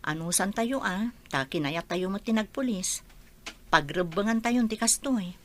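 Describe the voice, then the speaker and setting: A woman playing a brisk, chummy young police trainee in a radio drama. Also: mid-range voice, expressive, slight background noise, close to an everyday voice. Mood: grumbling, resigned.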